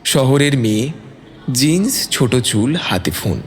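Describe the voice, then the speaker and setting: A man's voice: medium pitch, big pitch swings, unhurried, slight background noise. A man narrating a scripted dramatic story as a storyteller. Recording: long reverb, music bed. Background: music.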